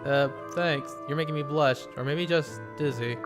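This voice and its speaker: A man's voice: a little high, normal speed, close to an everyday voice. A man voicing a perky, eager young princess in a raised voice, with game music behind. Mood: sleepy.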